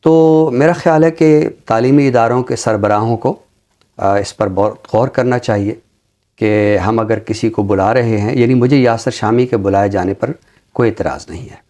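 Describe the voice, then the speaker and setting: A middle-aged Pakistani man speaking in a formal, deliberate way, as a literary commentator on a talk show. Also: medium pitch, dark tone. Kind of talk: reflective commentary and poetry recitation on a literary show.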